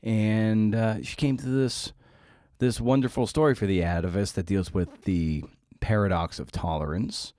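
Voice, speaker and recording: A man's voice: fairly low pitch, fairly even intonation, moderate pace, mellow, no echo, clean studio sound. A man hosting a podcast in a casual, wry style, often posing rhetorical questions. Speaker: podcast host. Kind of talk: casual podcast monologue and interviewing.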